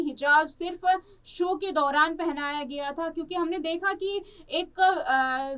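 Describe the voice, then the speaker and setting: A woman presenting news commentary in a halting, repetitive way, stretching words as she searches for the next. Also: sits high, fairly bright tone. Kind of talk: unscripted news commentary on a celebrity case. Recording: distant microphone.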